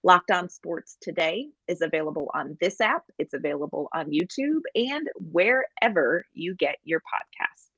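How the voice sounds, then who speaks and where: A woman with a fairly low voice co-hosts a sports podcast, chatting in a lively, conversational way. Her voice is recorded close and dry, with no room sound around it. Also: moderate pace, hardly any pauses, husky, dry recording. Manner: chatty and animated, apologetic asides. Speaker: podcast co-host. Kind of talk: casual sports podcast chat.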